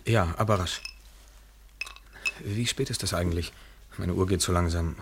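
An uneasy absent-minded bar customer, deep subdued voice, old radio play with slight hiss. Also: male voice, normal speed. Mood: distracted, uneasy. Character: distracted, lonely male bar customer, uneasy. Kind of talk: German radio play dialogue. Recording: faintly noisy old radio recording.

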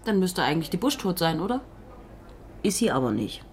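Terse, low-voiced policewoman. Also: sing-song, brisk, darkish tone, a little hiss or hum. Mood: skeptical. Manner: terse, skeptical, matter-of-fact. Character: terse, skeptical young female police trainee. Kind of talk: naturalistic German radio drama acting.

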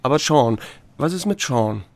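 Laconic male sheriff with a creaky voice. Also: medium pitch, expressive, normal speed, darkish tone, dry recording. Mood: probing, restrained. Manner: terse, understated. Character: small-town sheriff, married man, reserved and laconic. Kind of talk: German radio drama, first-person narration and dialogue.

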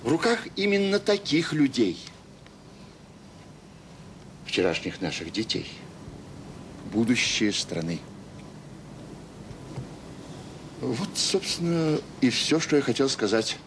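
A man's voice: big pitch swings, a little slow, long pauses. A man acting in an audio drama as a self-assured, sarcastic education official with an oratorical, condescending manner. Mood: oratorical, earnest. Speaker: conference speaker. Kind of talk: radio drama acting, formal speech. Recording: noisy 1980s audio play with rumble.

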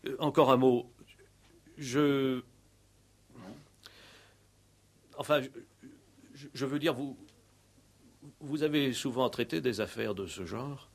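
A man's voice: medium pitch, measured pace, pauses often. An actor playing a worried village mayor in a radio play, flustered and self-important, stammering and repeating his words. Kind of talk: 1960s French radio play acting.

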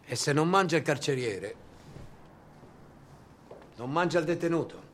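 A man's voice: a little high, animated, unhurried, very noisy. A man performing a dramatic war monologue as a theatrical, bitterly ironic storyteller. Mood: bitter irony. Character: bitter, sardonic male storyteller of war captivity.

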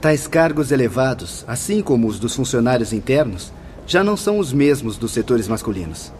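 A man's voice: mid-range voice, very animated, moderate pace, darkish tone, slight background noise, lightly acted. An actor playing a polite, instructive male spirit guide in a radio play. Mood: explaining.

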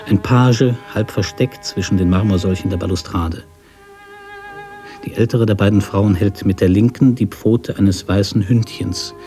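A man with a low-pitched, dark and relaxed voice reads literary prose as a radio drama narrator. His delivery is measured and story-like. Faint music sits behind the voice.

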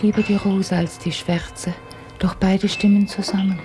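An actress playing an enigmatic, devoted young woman, reciting surreal love poetry in a radio drama, with breathy phrase endings. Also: medium pitch, level tone, measured pace, natural voice. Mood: dreamy, intense. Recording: loud music under the voice.

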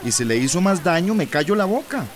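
A man's voice: sits high, sing-song, a little fast, crowd noise. An actor playing a feisty, protective village man with a Central American accent in a radio drama, blunt and plain-spoken. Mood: defiant.

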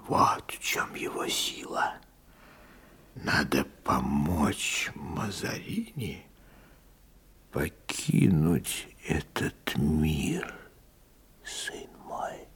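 An actor playing a dying old religious leader in a radio drama, solemn and conspiratorial in a hoarse, halting whisper. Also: male voice, a bass voice, animated, fairly bright tone, exaggerated voice. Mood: conspiratorial, grave. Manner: slow, solemn, halting delivery.

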